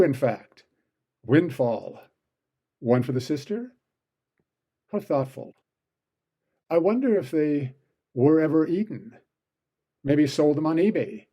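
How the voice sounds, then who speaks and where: A man's voice: medium pitch, mellow. A man delivering an opinion commentary monologue, full of sardonic, sarcastic asides.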